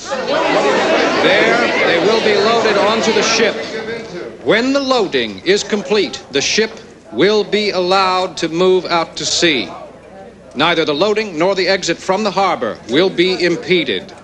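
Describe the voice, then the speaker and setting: A man's voice: high-pitched, expressive, slow pace, crowd noise. An actor playing a formal male government spokesman reading an official statement at a press briefing in a TV drama. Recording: very noisy press briefing room.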